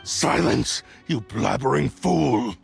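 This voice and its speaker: An actor playing a bitter, proud cursed sword spirit in a video game, speaking in a haughty, formal way with a raspy, gravelly voice. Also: male voice, mid-range voice, lively intonation, full character voice. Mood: scornful, defiant. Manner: haughty, deliberate, archaic formal speech. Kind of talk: dramatic video game voice acting. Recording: game music behind the voice.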